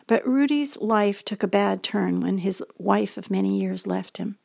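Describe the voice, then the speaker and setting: An older American woman reading a scripted personal story, gentle and reflective, as a feature presenter. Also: mid-range voice, fairly even intonation, a little slow, vocal fry, no echo, clean studio sound. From United States.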